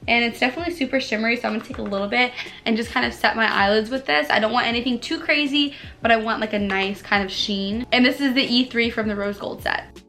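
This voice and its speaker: A young woman with a slightly higher voice talks fast and bubbly, her voice a little hoarse from allergies. She chats casually to her viewers, with plenty of "like" fillers as she works through her makeup. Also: steady tone, sharp tone. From United States. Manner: bubbly, chatty, many "like" fillers. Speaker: beauty vlogger. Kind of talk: casual makeup tutorial chat.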